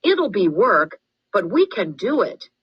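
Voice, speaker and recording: A woman's voice: medium pitch, big pitch swings, slow, husky, lo-fi sound, pitched above the performer's own voice, full character voice. A woman voicing an earnest, determined young girl giving a campaign speech in a storybook read-aloud. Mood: earnest, pleading. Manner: declamatory, determined, pleading.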